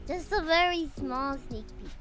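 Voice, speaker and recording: A woman's voice: high voice, lively intonation, moderate pace, over music. A young woman from the Philippines, streaming live and chatting casually with her viewers in a bubbly, giggly way.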